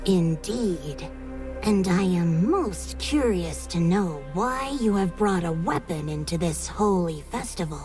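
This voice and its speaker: An actress playing a calm, watchful warrior in video game dialogue, courteous but guarded, with a veiled warning in the delivery. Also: female voice, on the low side, takes it slowly. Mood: guarded, polite. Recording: loud music underneath. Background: music.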